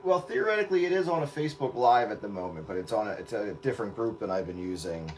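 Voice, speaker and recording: Low-voiced man chatting casually as host. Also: animated, quick pace, darkish tone, a little hiss or hum. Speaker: host of an online radio round-up night. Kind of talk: casual chat.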